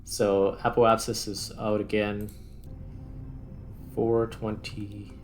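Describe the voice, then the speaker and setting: A man's voice: fairly low pitch, little pitch movement, slow, faint music behind. A man giving casual gameplay commentary, thinking aloud as he plays. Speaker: gaming video host.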